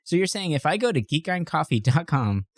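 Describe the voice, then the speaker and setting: Male performer as theatrical adventurer for a comedy ad skit, pitched up and exaggerated, dry recording. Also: sing-song, brisk, no room sound. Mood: eager, amazed. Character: weary adventurer turning eager in comic ad skit.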